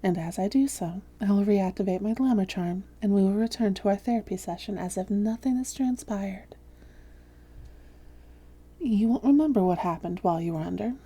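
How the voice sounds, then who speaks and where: A woman playing a seductive, domineering vampire posing as a therapist in an intimate roleplay audio, speaking softly and deliberately with frequent whispering. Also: medium pitch, natural voice. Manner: soft, breathy, often whispering, deliberate. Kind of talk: intimate roleplay audio drama, hypnotic delivery.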